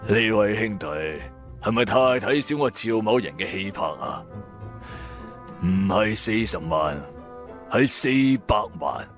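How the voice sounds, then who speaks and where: An actor with a Cantonese accent playing a shrewd, self-assured old antiques dealer in a radio drama, speaking in a measured, grandiose way. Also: male voice, on the low side, animated, fairly bright tone, soft background music. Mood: proud.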